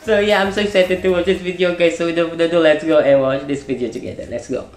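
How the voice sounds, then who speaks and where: A man's voice: fairly high pitch, steady tone, fast talker, music in the background. Echoey, gushing young Malaysian man. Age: young adult. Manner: gushing, repeats phrases. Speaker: reaction video host. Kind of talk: casual excited reaction chat. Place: small bedroom, indoors.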